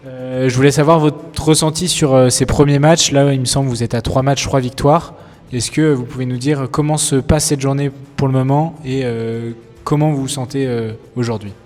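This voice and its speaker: A man's voice: mid-range voice, fairly even intonation, normal speed, darkish tone. A man interviewing on site for a vlog, asking polite questions with some hesitation. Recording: echoey hall, music behind.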